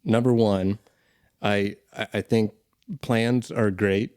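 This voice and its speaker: Low mellow-voiced man interviewing. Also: fairly even intonation, slow pace, clear, resonant tone, no echo, clean studio sound. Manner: laid-back, lists points in order. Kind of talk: casual interview chat.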